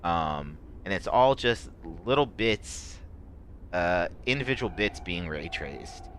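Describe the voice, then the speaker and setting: Low, halting male game streamer. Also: animated, fairly bright tone, slight background noise. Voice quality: rough, strained voice. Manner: rambling, halting, many fillers and restarts. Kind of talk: casual gameplay commentary.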